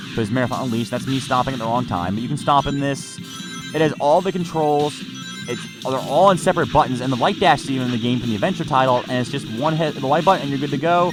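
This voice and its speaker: A man's voice: mid-range voice, rough recording. A man giving casual gameplay commentary, rambling and often repeating or correcting himself mid-sentence. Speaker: let's play narrator reviewing gameplay. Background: game music under the voice.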